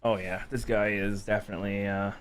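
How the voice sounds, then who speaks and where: Low-voiced man casually reacting to a game. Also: flat delivery, a little fast. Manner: swears freely, mutters reactions. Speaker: gaming streamer reacting to a game. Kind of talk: casual playthrough commentary.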